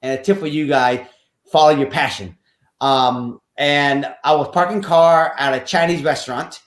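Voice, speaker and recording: Man in his 50s from vietnam telling his story on a podcast, kinda high voice, echoey room, repeats himself a lot. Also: steady tone, normal speed. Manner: earnest, repeats phrases, slightly nonstandard English grammar. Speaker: podcast guest, real estate investor. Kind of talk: casual interview storytelling.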